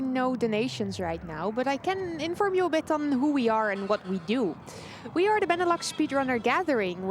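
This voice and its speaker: High, animated woman hosting a stream. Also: a little hiss or hum. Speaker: event host reading donations. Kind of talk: prepared charity stream announcement. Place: live gaming marathon at a hotel.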